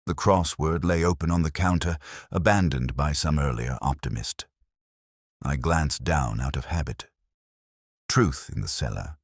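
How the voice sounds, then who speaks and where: Very deep-voiced man narrating a detective story. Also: slightly rough, no echo, studio quality. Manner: measured, literary, brooding delivery. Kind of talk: scripted first-person detective narration.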